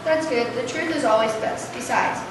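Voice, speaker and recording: A woman's voice: sits high, normal speed, close to an everyday voice. A young female performer playing an easygoing, kindly man at a school, casual and matter-of-fact in a readers' theater. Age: young adult or younger, school pupil. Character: easygoing male school helper, kindly, muddled. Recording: echoey noisy hall from far off.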